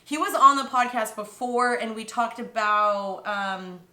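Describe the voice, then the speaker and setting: A bubbly woman hosts her podcast in casual chat, talking in slang and laughing often. Her voice is bright and forward. The room around her sounds echoey. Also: on the high side, a little slow. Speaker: podcast host.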